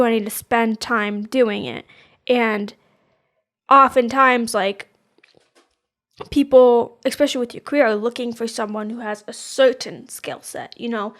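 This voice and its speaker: A woman's voice: fairly high pitch, moderate pace. A woman in her twenties co-hosting a podcast, talking casually and rambling, searching for words with lots of fillers. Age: 20s. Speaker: podcast co-host. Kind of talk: casual chat.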